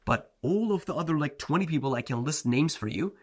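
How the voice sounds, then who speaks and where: A man's voice: on the high side, animated, a little fast. A man whose first language is Turkish, a self-improvement coach giving a blunt, slangy motivational talk, often saying "bro" and "like". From Turkey.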